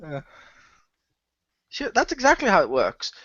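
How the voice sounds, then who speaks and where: A man's voice: high voice, wildly swooping pitch, unhurried, a bit bright, dry recording. A man chatting casually as a cast member, teasing the others and laughing a lot. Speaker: cast member of an audio drama. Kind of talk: casual chat. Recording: dull lo-fi, dry sound.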